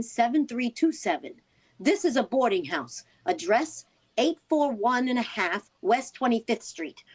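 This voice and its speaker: A woman playing a concerned, persistent housewife in a vintage-style radio play, earnest and inquisitive. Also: a little high. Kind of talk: vintage radio drama acting.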